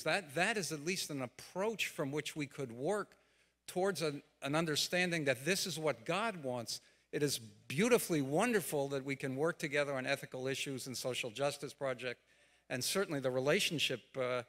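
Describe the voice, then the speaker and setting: A man giving an earnest, deliberate, unscripted address as an audience contributor at a conference. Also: on the high side, fairly bright tone. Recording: long hall reverb.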